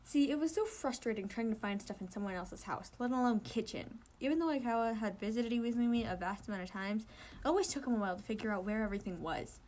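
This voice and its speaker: A woman's voice: on the high side, expressive, fast, a little mellow. A woman reading a story aloud informally, sometimes stumbling and correcting herself. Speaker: amateur fan fiction reader.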